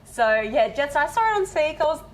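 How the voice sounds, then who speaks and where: A woman's voice: sits high, animated, quick pace, a little hiss or hum. A woman in her twenties, raised in Israel, chatting as a guest and laughing often. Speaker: guest, reality show runner-up. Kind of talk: casual interview chat.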